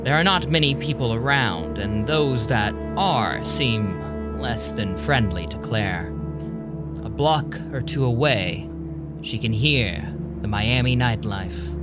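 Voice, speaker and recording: Guy narrating an audio drama, slow and measured, medium pitch, music underneath. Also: animated. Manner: measured, deliberate. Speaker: narrator, storyteller.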